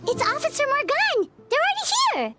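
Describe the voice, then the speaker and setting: A woman's voice: very high voice, wildly swooping pitch, fairly quick, bright, forceful tone, heavily acted. A woman voicing a small, chirpy fairy girl companion in a video game, childlike and exclamatory. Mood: excited.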